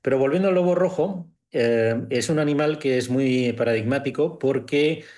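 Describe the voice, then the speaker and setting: A man with a medium-pitched voice presents science news on a panel. He explains things informally, step by step, and repeats key points for emphasis. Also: normal speed, a little mellow.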